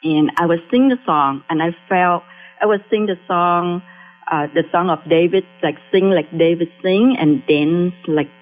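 A woman's voice: on the low side, soft background music. Woman with a Vietnamese accent on a tinny phone line. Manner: halting, repeats and restarts phrases. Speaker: guest. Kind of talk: personal faith testimony.

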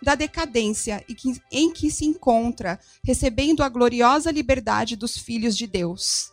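High-voiced Brazilian woman interpreting a sermon. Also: measured pace, no echo, faint music behind. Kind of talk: live consecutive interpretation of a sermon.

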